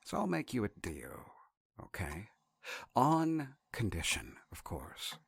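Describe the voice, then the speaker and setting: Man acting out gravelly, low-voiced sardonic drifter. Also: huge pitch swings, slow pace, no echo, full character voice. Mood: wry, guarded. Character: wounded, sardonic, foul-mouthed man from another reality, fiercely protective. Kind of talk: audio drama acting.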